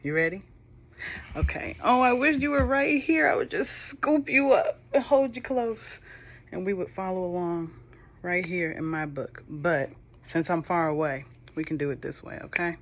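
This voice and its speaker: A woman reading a children's Bible storybook aloud, with gentle, chatty, affectionate asides to the listening kids. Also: lowish voice, moderate pace, slight background noise.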